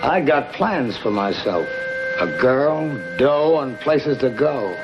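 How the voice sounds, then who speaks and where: An actor playing a curt, ruthless gangster in a vintage television crime drama. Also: male voice, medium pitch, animated, takes it slowly. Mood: cold, menacing. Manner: curt, cold, hard-boiled. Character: ruthless gangster with a newly operated face. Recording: noisy, dull vintage TV film soundtrack.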